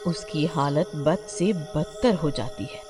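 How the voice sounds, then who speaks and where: A woman's voice: low-pitched, takes it slowly, music in the background. A woman narrating a scripted horror story in a dramatic storytelling manner.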